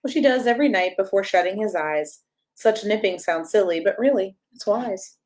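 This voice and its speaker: A woman reads a rhyming picture book aloud for children. Her voice sits at a middle pitch, and she delivers the lines in a playful, sing-song storybook manner, giving the rhymes clear emphasis. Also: expressive, normal speed, darkish tone. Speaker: pastor and storyteller reading aloud.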